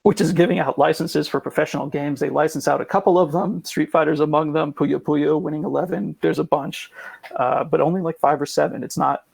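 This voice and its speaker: Gravelly man explaining things casually. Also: on the high side, steady tone, fairly quick, darkish tone, no echo. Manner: rambling, hedging explainer. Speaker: podcast guest explaining esports. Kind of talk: casual explanatory podcast talk.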